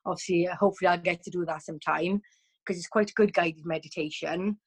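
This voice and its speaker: A woman's voice: lowish voice, steady tone, fast, breathy edge. Chatty woman on a video call. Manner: chatty, frequent ums and restarts. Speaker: guest. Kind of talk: casual chat over a video call.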